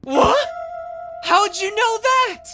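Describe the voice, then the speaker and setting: A woman's voice: extremely high pitch, extremely slow, music in the background, heavily acted. A woman playing an earnest, easily flustered young rookie detective in an anime dub, blurting out thoughts. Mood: startled. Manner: excitable, thinks out loud, blurts things.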